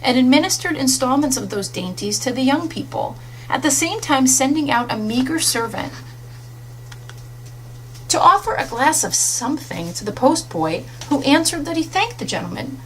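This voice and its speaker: A woman's voice: sits high, sing-song, moderate pace. A woman reading a literary story aloud as an audiobook narrator, in a scripted storytelling style. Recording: faintly noisy audiobook recording.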